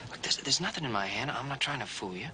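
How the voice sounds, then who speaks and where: An actor playing a patient man teaching sign language to a deaf child, coaxing her and repeating single words slowly, in a loud, noisy film soundtrack. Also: mid-range voice, lively intonation, close to an everyday voice.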